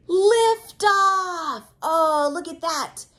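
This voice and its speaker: A woman reading a picture book aloud to children, expressive and exclamatory, pausing to explain things simply and put questions to young listeners. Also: very high-pitched. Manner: expressive, exclamatory, with audible gasps of wonder.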